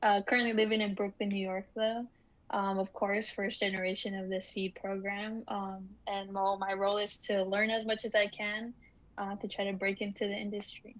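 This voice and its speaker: A woman's voice: medium pitch, flat delivery, moderate pace, highs cut off. A twenty-two-year-old woman from California, a design student, eager but a bit hesitant. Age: 20s.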